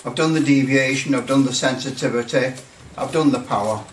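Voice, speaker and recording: A man giving a casual hands-on commentary as a hobbyist, halting and muttering a little to himself as he works. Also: mid-range voice. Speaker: hobbyist presenter. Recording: roomy, distant mic with light noise.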